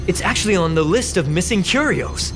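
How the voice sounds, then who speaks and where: A woman's voice: lowish voice, animated, brisk, lightly acted. A young woman playing an eager, self-doubting space station researcher in a video game, earnestly thinking aloud. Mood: earnest, pondering. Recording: game music behind the voice.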